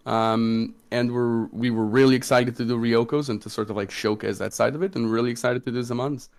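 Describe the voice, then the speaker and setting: A man talks in a casual, conversational way, as a game designer hosting a livestream. His pitch sits in an ordinary middle range for a man. He addresses an online community as he explains and takes questions. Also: fairly even intonation, brisk. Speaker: tabletop game designer and stream co-host.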